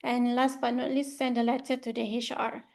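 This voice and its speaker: A woman's voice: a little high, little pitch movement, moderate pace, a little mellow. A young woman from Malaysia, a medical trainee, presenting a report in a casual, loose way with fillers, talking from slides. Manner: informal, loose phrasing with fillers, speaks from slides.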